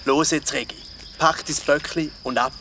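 An actor playing a calm, protective monk and craftsman in a children's radio play, speaking firmly and diplomatically. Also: on the high side, normal speed, background noise, natural voice. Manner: composed, firm, diplomatic. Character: calm, firm, protective monk, a master bell founder. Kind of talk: German-language children's radio play acting.

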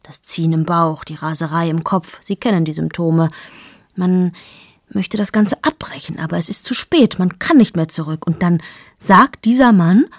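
A woman's voice: on the low side, moderate pace, no echo, studio quality. An actress in her thirties narrating a radio play monologue as a restless female journalist, with intimate, confessional storytelling that often drops to a whisper. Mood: brooding. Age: 30s.

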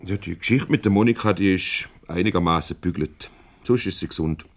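An actor playing a shrewd, teasing boss and go-between in a 1970s Swiss German radio comedy, probing, wry and avuncular. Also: male voice, low-pitched, a little fast. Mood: encouraging. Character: shrewd, teasing boss mediating a couple's quarrel.

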